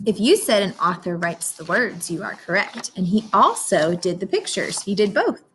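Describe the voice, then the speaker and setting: A woman's voice: mid-range voice, big pitch swings, normal speed, a bit bright, slight background noise, low-quality recording. A woman with an American accent reading a picture book aloud as a teacher, explaining simply and prompting young listeners with questions.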